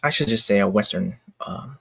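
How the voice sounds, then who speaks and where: A man giving casual, unscripted commentary as a creator, with a husky, gravelly voice and a halting, rambling, self-correcting way of talking. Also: lowish voice, fairly bright tone, no room sound, studio quality. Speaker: indie manga creator and narrator.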